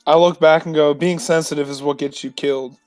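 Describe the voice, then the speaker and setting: A man's voice: fairly high pitch, steady tone, a little fast, darkish tone, no room sound, faint music behind. A man playing in a tabletop roleplaying game, talking casually and bluntly with a sardonic edge.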